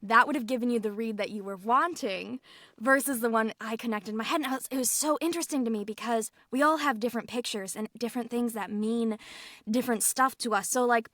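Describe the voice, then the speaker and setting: Giggly woman chatting w the group, high bright voice, acts out little quotes. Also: fairly quick, no echo, clean studio sound. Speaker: voice actor on a podcast panel. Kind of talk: casual group discussion.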